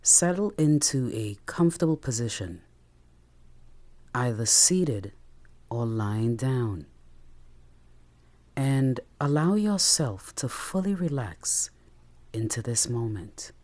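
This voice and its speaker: A meditation guide speaking gently and soothingly in short phrases with long pauses, leading a guided relaxation. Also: mid-range voice. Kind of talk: guided meditation, soft instructional narration. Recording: steady rain sound behind the voice. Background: rain.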